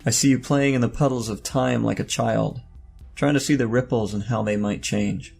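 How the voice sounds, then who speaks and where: An actor playing a mysterious robed male mentor, stern and cryptic, speaking gravely and formally in an audio drama. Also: medium pitch, fairly even intonation, normal speed, a little mellow, soft background music. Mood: stern, reproachful. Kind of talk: audio drama character dialogue.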